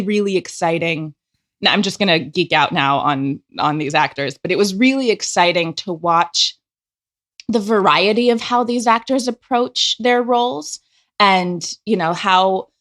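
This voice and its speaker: An enthusiastic, expressive woman chatting casually as a guest in an interview about her creative work. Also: mid-range voice. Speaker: audio drama director and actor, guest.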